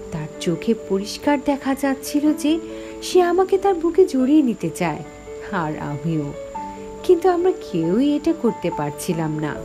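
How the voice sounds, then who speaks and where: A woman narrating a scripted horror story dramatically, in the first person as its heroine. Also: a little high. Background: music.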